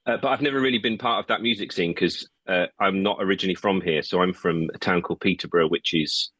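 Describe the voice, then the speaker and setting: A man's voice: low-pitched, quick pace, fairly bright tone, breathy edge, dry recording. An English man in his early forties, a musician guest chatting loosely in an interview, full of restarts and "you know". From England. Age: early 40s. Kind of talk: casual interview chat.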